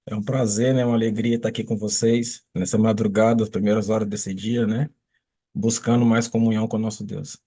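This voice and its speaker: A man's voice: lowish voice, little pitch movement, a little fast, mellow, slightly rough. A man from Espírito Santo, a church member, politely greeting the meeting before his testimony. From Espírito Santo, Brazil.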